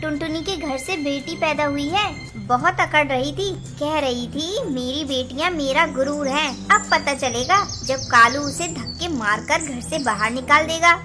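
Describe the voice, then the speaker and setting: A woman's voice: high voice, unhurried, few pauses. A woman voicing a scheming, gossiping female parrot in a cartoon, taunting and spiteful. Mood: gloating. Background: background music.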